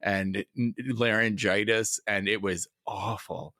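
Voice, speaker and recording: A man with a lowish voice hosting a podcast, talking loosely with lots of "um" and "like" and dry jokes. The sound is close and dry, with no room around it. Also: flat delivery, slow pace, pushed and bright, no echo. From Minnesota. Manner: rambling, self-deprecating, many fillers. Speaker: podcast co-host. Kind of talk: casual podcast chat and ad reads.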